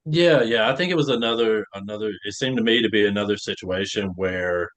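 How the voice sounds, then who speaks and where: A man's voice: fairly low pitch, fairly quick, fairly bright tone. A man in an acting class, offering his thoughts in a casual discussion.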